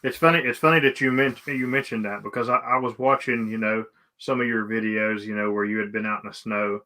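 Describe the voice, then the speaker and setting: A man hosting a casual interview, speaking haltingly and often repeating his words as he goes. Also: on the low side, fairly even intonation, pushed and bright. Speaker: live stream host interviewing a guest.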